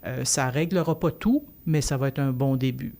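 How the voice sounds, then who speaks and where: A man's voice: medium pitch, normal speed, gravelly, a little mellow. A man, a podcast guest earnestly explaining at length and restarting his phrases often. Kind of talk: podcast panel discussion.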